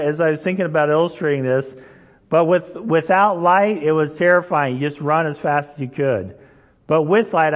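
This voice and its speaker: A man preaching a sermon in a relaxed, storytelling way, often checking in with the listeners. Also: medium pitch. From Indonesia. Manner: conversational, storytelling, frequent "right?" checks. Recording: dull sound, long hall reverb.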